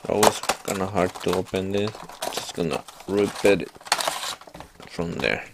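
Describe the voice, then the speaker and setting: A man's voice: on the low side, heavy background noise. A man hosting his own channel, chatting casually to viewers as he opens card packs and reads out each card. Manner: relaxed, talks to viewers while reading out cards. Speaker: card-opening channel host. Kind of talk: casual pack-opening narration.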